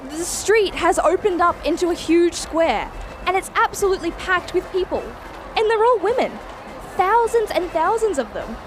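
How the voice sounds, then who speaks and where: Radio play lead: curious, cheeky young Australian, lively swooping delivery, some ambient noise behind. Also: female voice, very high voice, normal speed. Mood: amazed, excited. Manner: chatty, wide-eyed, informal. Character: Alex, curious, cheeky young nonbinary Australian time traveller. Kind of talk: radio play acting, breathless first-person narration.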